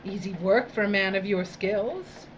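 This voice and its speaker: A woman's voice: medium pitch, unhurried, lo-fi sound, slight background noise, natural voice. An actress playing a domineering, sadistic female boss in an audio drama, with a condescending, mock-sweet, imperious drawl. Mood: gleeful, scheming. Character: domineering, theatrical, sadistic female boss of dystopian work crews. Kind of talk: theatrical audio drama acting.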